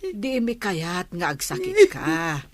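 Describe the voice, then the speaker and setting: An actress playing a gentle mother coaxing her daughter and calming her husband in a radio drama. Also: medium pitch, slow. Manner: soothing, coaxing.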